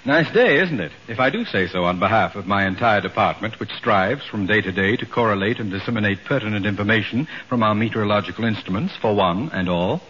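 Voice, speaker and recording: A pompous, wordy weatherman in an old radio comedy. His bright, forceful voice swoops earnestly through precise exaggerations, on a dull 1940s recording. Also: lowish voice, expressive, fairly quick, few pauses, slight background noise. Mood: officious.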